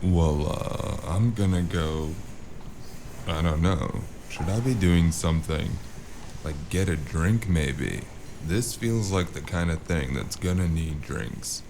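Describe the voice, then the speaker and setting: Gravelly, rock-bottom-pitched noir drifter played by a man, gruff, wry and understated, audio drama with noisy ambience behind. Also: slow. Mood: unsure. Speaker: voice actor and first-person narrator.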